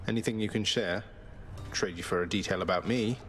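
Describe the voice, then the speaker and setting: An actor playing a courteous, wry young god prince in a video game, polite and understated. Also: male voice, on the low side, fairly quick, lightly acted. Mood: curious, sincere. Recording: music under the voice.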